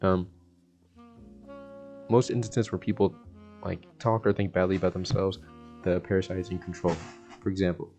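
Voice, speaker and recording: A man's voice: low-pitched, little pitch movement, moderate pace, long pauses, a little mellow, soft background music. A young American man giving a casual self-help monologue in a laid-back, slangy, rambling way. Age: young adult. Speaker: vlogger.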